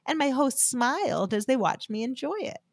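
A woman's voice: sits high, expressive, normal speed, no echo, clean studio sound. An American woman giving a heartfelt, scripted devotional talk that she tells as a personal story. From United States.

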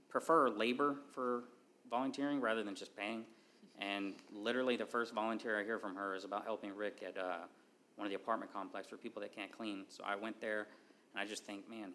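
A man with a somewhat low voice speaks earnestly about his faith, breaking off and restarting his phrases. A faint hiss of background noise sits under the recording. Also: pushed and bright. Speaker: church member giving testimony. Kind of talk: personal testimony.

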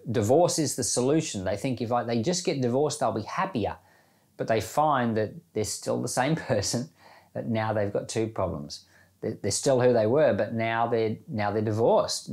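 A man speaking as a pastor, giving an informal, reflective devotional talk in plain, conversational language. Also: mid-range voice. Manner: informal, reflective, plain-spoken, explaining with everyday examples. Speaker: pastor giving Bible podcast commentary and prayer.

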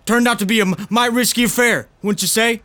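An actor playing a plain-spoken, protective 1890s farmer in a film drama, blunt, folksy and sardonic. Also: male voice, very high-pitched, fairly even intonation, fairly quick, bright, forceful tone, no echo. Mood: angry sarcasm. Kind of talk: dramatic acting for an animated storyboard film.

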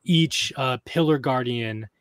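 A man's voice: medium pitch, takes it slowly, fairly bright tone, smooth, no echo. A man co-hosting a podcast, casually working through a lore theory, thinking aloud with fillers and restarts. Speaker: podcast co-host discussing game lore.

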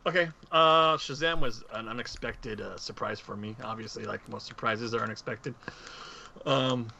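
A man's voice: mid-range voice, normal speed, vocal fry, bright, forceful tone, a little hiss or hum. A man co-hosting a podcast, sharing his opinions in casual chat. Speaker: podcast co-host.

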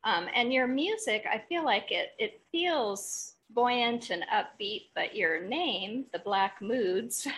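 Female podcast host, lowish pitch with a bright, forward tone, conversational interviewing. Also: poor audio.

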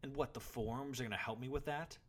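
A man's voice: fairly low pitch, big pitch swings, a little fast, vocal fry, fairly bright tone, breathy edge, voice pushed up, heavily acted. A man voicing a proud, frustrated boy warrior in training, arguing indignantly in an audiobook story. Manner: indignant, argumentative.

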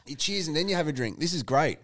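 A middle-aged Australian man with a booming voice, chatting as a podcast guest in an animated, jokey way. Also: quick pace, no room sound, clean studio sound. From Australia (Tasmania). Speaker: guest, sports announcer. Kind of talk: casual podcast chat. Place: podcast studio.

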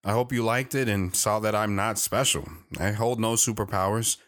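A man's voice: lowish voice, fairly even intonation, moderate pace, fairly bright tone, natural voice. A man playing a devoted, reflective wandering father who tells his own story in an audio drama, earnest and sentimental. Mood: reflective, humble. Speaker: narrator.

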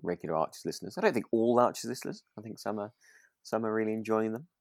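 A British man co-hosting a casual podcast, speaking in a halting, understated way. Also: fairly low pitch, expressive, no room sound. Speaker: podcast co-host.

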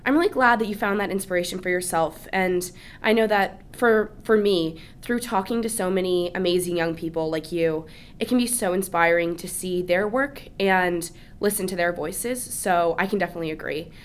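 A woman's voice: fairly low pitch, normal speed. A woman hosting a podcast, reading an eager scripted intro and asking her guest questions. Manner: upbeat, eager. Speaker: podcast host.

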